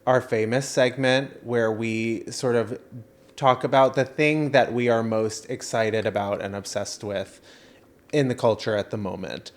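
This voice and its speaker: A man's voice: mid-range voice, steady tone, unhurried. A man co-hosting a casual comedy chat, with a campy, playful, giggly manner and sibilant S sounds.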